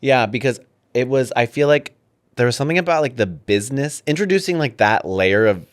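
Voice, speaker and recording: A man's voice: medium pitch, animated, a little fast, dry recording, clean studio sound. A man co-hosting a comedy podcast, teasing the others in a theatrical, mock-earnest manner. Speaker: podcast co-host. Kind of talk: casual comedic chat.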